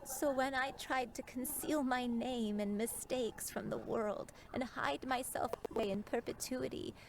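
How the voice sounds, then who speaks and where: High-voiced, remorseful gentle woman. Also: steady tone, measured pace, creaky, background noise. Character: gentle, remorseful grown woman, long in hiding. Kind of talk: scripted dramatic game voice acting.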